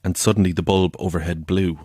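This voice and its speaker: An actor playing a haunted believer in the paranormal, telling a chilling tale in a deliberate, halting way for an audio drama. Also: male voice, sits low, steady tone, dark tone, breathy edge, dry recording, studio quality. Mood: haunted, hushed. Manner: deliberate, halting, suspenseful storytelling.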